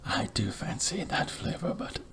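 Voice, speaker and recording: A man playing a polite, fussy barber in a whispered ASMR roleplay, speaking softly and formally close to the microphone. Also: on the high side, very animated. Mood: soft, courteous. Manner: soft, hushed, formal, measured. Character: polite, fussy, chatty male barber tending a client. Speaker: ASMR performer. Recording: loud clicking and hiss, scissor sounds.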